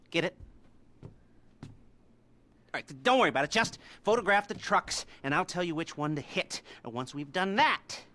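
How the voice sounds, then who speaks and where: A sardonic criminal brain from a video game. The voice sits fairly high and swings widely in pitch, with a bright, tense edge. He rambles haltingly through plans, twitchy and nerdy. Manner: halting, rambling, nervous chuckles, rhetorical hms. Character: nerdy, twitchy, sardonic male heist mastermind briefing crew. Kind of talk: scripted video game cutscene acting.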